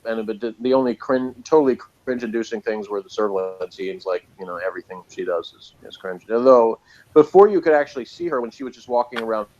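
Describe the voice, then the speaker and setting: A man's voice: on the low side, expressive, quick pace, darkish tone, muffled recording. A man giving a casual, off-the-cuff TV episode review as host, rambling along in a sardonic way.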